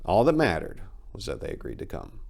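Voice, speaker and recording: A man reading his own short story aloud as a dramatic, expressive narration of literary horror. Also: low-pitched, brisk, gravelly.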